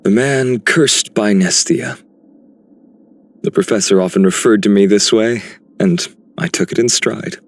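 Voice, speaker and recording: Low-voiced noble warrior. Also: male voice. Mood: wry, respectful. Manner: measured, earnest, formal. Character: noble, philosophical young warrior, steady and respectful. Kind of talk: video game character voice lines.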